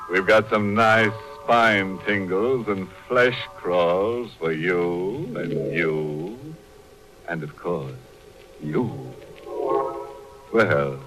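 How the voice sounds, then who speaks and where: Very slow, ghoulish horror host. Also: male voice, deep voice, fairly bright tone, exaggerated voice, poor audio. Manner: slow, drawn-out, macabre wit. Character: ghoulish, mock-sinister male horror show host. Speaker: host narrator. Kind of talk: 1940s radio horror hosting. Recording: old 1940s radio broadcast recording.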